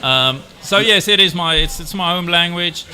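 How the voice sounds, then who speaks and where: A man with a strikingly bright, forceful tone talks as a guest. He is blunt and direct, often restarting his sentences before he gets to the point. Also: high voice, moderate pace, low-quality recording. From South Africa. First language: Afrikaans. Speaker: guest entrepreneur. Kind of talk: casual chat.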